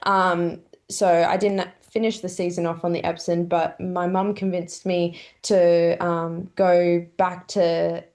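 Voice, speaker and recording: A young Australian woman in her twenties, a golfer, answering interview questions in a relaxed, chatty way with lots of "um" fillers. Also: lowish voice, takes it slowly, few pauses. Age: 20s. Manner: chatty, many "um" fillers, laughs often. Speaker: pro golfer guest.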